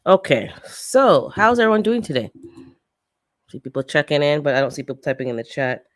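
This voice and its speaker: A woman's voice: low voice, sing-song, fairly quick. Stuffy-nosed chatty woman livestreaming. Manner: chatty storyteller, laughs often, greets chat by name. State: stuffy, congested nose. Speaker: gardening channel host. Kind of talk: casual livestream chat with viewers.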